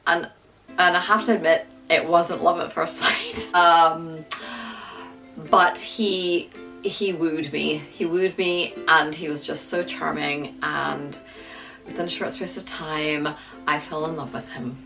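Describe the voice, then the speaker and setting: A woman's voice: low-pitched, fairly even intonation, takes it slowly, creaky, pushed and bright, soft background music, poor audio. A middle-aged woman, originally from the United States, with an American accent touched by Scottish, chatting to camera in a self-deprecating way, with a lot of ums. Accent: American, with some Scottish. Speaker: vlogger. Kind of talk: casual talk to camera.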